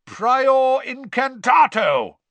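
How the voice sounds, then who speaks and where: A man's voice: fairly high pitch, big pitch swings, normal speed, fairly bright tone, no room sound, pitched above the performer's own voice. A man playing a hot-tempered, accusing male wizard official in a storybook, with a growling, blustering delivery. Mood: roaring triumph. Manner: blustering, roaring.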